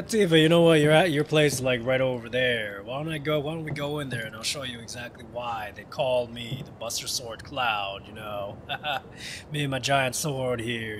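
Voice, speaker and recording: A man's voice: medium pitch, fairly bright tone, a little hiss or hum. A man giving jokey, profane let's play commentary, talking back to the game characters. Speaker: gamer. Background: game music.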